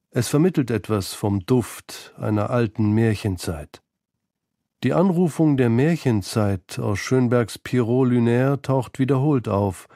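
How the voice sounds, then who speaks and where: Mellow male documentary narrator, dry sound. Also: medium pitch, dry recording. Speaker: narrator of a literary radio feature. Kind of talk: scripted narration.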